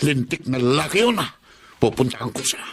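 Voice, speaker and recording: A man's voice: medium pitch, very animated, a little fast, fairly bright tone, slightly rough, full character voice. A man playing a stern, protective older father in a radio melodrama, exasperated, emphatic and scolding. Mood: indignant. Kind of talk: melodramatic radio drama acting.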